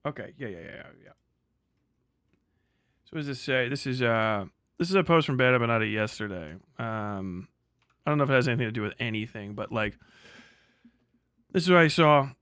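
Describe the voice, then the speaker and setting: A man's voice: medium pitch, lively intonation, fairly quick, long pauses, fairly bright tone, clear, resonant tone, no room sound. Blunt man commenting on a livestream, dry sound. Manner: blunt, profane, rambling. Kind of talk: casual livestream commentary, reading and reacting to posts.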